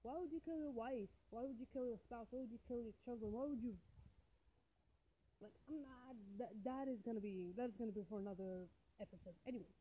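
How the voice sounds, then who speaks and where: A woman's voice: a little high, a little fast, breathy edge, narrow, tinny audio. A woman from Algeria, an English speaker, chatting casually and emphatically as she gives advice on a solo podcast, often repeating phrases for stress. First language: not English, a non-native speaker. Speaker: podcast host, independent writer.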